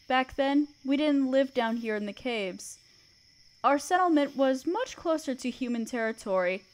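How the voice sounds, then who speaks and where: A woman acts out a regal spider queen with a fairly high, soft voice. She is motherly and protective, with an old sorrow behind her. Her delivery is deliberate and soothing, made for a comforting roleplay audio drama. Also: close to an everyday voice.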